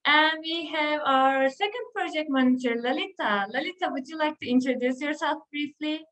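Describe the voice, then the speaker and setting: A woman's voice: high-pitched, normal speed, fairly bright tone. A young woman with a Turkish accent, a student intern hosting an online panel, talking with frequent hesitations. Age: young adult. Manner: hesitant, many filler sounds. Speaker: event host, HR intern.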